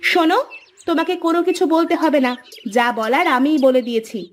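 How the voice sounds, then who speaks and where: A woman voicing a sharp-tongued, defiant young daughter-in-law in a cartoon, snapping back sassily. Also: high-pitched, lively intonation, a little fast, a little hiss or hum. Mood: haughty, threatening. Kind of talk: Bengali cartoon voice acting. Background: background music.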